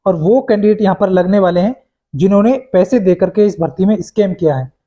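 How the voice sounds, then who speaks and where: A high-voiced man gives an informal news update, addressing viewers directly. His tone is soft-edged and mellow, and he circles back over his points with plenty of English words mixed in. The recording is dull and lo-fi. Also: fairly quick. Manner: repetitive, mixes in many English words. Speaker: host of exam news updates for job candidates. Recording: dull, muffled lo-fi sound.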